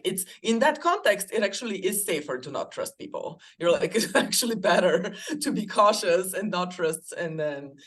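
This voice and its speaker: A woman from Bulgaria, a life coach guest on a podcast, talking casually and reflectively, with frequent laughs and filler words. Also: on the low side. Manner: reflective, chatty, laughs often, many fillers. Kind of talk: casual conversation.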